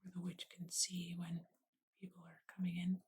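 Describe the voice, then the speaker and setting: A woman whispering gently and unhurriedly in a breathy, soothing ASMR style as she narrates her drawing. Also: on the low side, completely flat delivery, normal speed. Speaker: ASMR artist and host. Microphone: USB desktop microphone. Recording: noisy close mic, clicks and writing.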